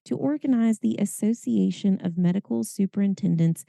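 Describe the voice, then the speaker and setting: Hoarse raspy woman, low gravelly voice, dark tone, dry close mic. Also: a little fast, dry recording. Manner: chatty, lots of fillers and laughs. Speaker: podcast co-host. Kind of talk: casual chat.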